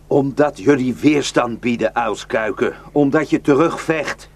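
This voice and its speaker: A man's voice: mid-range voice, unhurried. An actor playing a cynical, taunting Iraqi man in a radio drama, declaiming and mocking. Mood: scornful, fired up. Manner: mocking, declamatory, swinging from hushed to shouting.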